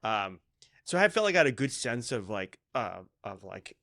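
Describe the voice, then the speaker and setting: A man's voice: on the low side, very animated, moderate pace, gravelly, sharp tone, no room sound, clean studio sound. A man with an American accent hosting a podcast interview in a casual, conversational style. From United States.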